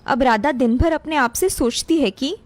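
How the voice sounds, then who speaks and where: A woman's voice: high voice, moderate pace, no room sound. A woman narrator reading a scripted moral story for a cartoon. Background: music.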